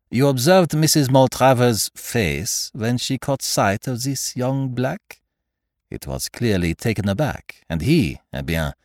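A man voicing a genteel, shrewd foreign detective in an audiobook, speaking formally and deliberately with the odd French phrase. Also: on the low side, darkish tone, no echo, studio quality, voice pushed up. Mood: confident, probing. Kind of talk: audiobook character voice.

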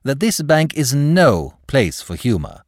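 Male reader as impudent young gent, storybook clerk. Silky over-politeness, very animated intonation, dry sound. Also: mid-range voice, moderate pace, dry recording, pitched above the performer's own voice. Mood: smooth, self-assured. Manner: flowery, ingratiating, over-polite speech. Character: suave, impudent young gentleman clerk, mock-courteous and unflappable. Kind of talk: audiobook character dialogue.

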